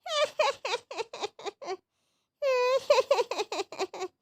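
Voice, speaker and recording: Shrill, taunting hungry ghoul. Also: female voice, expressive, heavily acted. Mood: gloating, hungry. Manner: cackling, taunting, drawn-out words. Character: gloating, hungry female ghoul preying on a child. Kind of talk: doll-play children's cartoon story.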